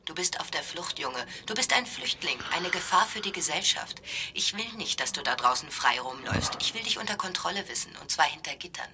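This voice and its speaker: A woman's voice: low-pitched, animated, fairly quick, background noise. An actress playing a stern, commanding woman, firm and admonishing, in a radio drama. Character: stern, commanding woman lecturing a runaway boy.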